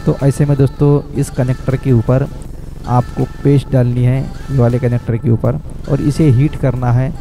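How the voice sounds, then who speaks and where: A man's voice: medium pitch, little pitch movement, moderate pace, over music. A man, a mobile repair technician who explains a phone repair step by step and keeps calling the viewers "friends".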